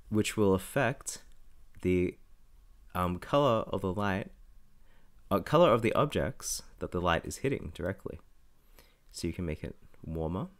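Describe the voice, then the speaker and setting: A young man, a little raspy from being sick, walking through a software tutorial in a casual, self-correcting way. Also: on the low side. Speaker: tutorial host. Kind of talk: screen-recorded software tutorial.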